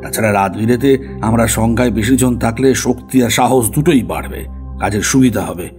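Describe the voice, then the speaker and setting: A man's voice: medium pitch, moderate pace, music in the background, natural voice. A man playing a practical, reassuring middle-aged village father in an audio story. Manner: matter-of-fact, instructing. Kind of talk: Bengali audio story character dialogue.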